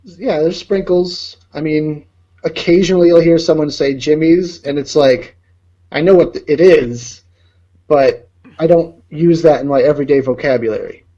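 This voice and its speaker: A man's voice: a little high, normal speed, warm tone. A man chatting casually as a co-host, laid-back and hedging with "like". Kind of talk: casual chat. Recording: dull lo-fi sound.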